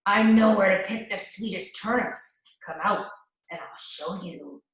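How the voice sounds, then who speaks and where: A woman voicing a sly, menacing big bad male wolf who calls out loudly and drags out his growling threats. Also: medium pitch, close to an everyday voice. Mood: coaxing. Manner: loud drawn-out calling, growling threats. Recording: echoey room.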